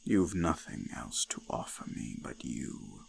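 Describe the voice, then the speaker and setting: An ancient male god of the forest, looms in a low, slow voice over faint background ambience. He is menacing and seductive, commanding in archaic phrases and often sinking into gravelly whispers, as in a folk horror audio drama. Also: very animated, a little hiss or hum, full character voice. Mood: seductive, coaxing. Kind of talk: scripted roleplay audio, slow dramatic monologue.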